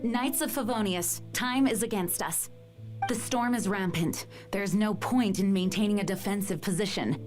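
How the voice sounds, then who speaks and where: A woman's voice: medium pitch, normal speed, natural voice. Woman acting an earnest knight commander over music. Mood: resolute. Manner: formal, resolute, composed. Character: earnest, dutiful young female knight commander. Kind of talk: video game voice acting. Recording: game music behind the voice.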